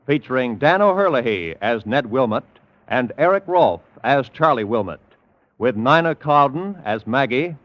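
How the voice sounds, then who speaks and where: A man announcing formally and in a measured way, in 1940s radio style. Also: medium pitch, no room sound. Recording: muffled old radio broadcast, music behind.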